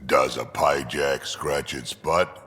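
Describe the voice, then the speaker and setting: An actor playing a gruff old alien mercenary in a video game, with a gravelly rumble and a dry, blunt drawl. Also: male voice, slow pace, fairly bright tone, in a large hall, exaggerated voice. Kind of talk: video game character acting.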